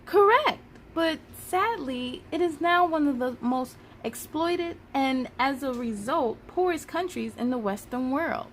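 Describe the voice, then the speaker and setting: An upbeat, patient schoolteacher from a children's storybook, acted by a woman, with a high voice that swings widely. She instructs with encouraging emphasis, over some background noise. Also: expressive, measured pace, hardly any pauses. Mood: bright, encouraging. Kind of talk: children's storybook read-aloud.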